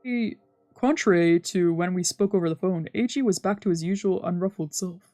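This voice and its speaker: A woman's voice: fairly low pitch, normal speed, darkish tone, no echo. A woman streamer reading scripted first-person visual novel narration aloud. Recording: music behind the voice.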